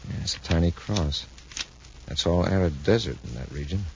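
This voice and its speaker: An actor playing a steady, authoritative space patrol commander, the calm hero of a 1950s radio serial. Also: male voice, low-pitched, moderate pace. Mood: calm, decisive. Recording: noisy, muffled 1950s radio recording.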